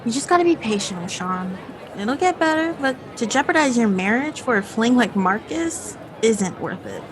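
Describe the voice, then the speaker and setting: A woman's voice: fairly high pitch, animated, moderate pace, a crowd in the background, noisy background, natural voice. A woman acting in an audio drama as a hopeful but uneasy girlfriend. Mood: earnest, chiding. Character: hopeful, uneasy girlfriend awaiting a marriage proposal.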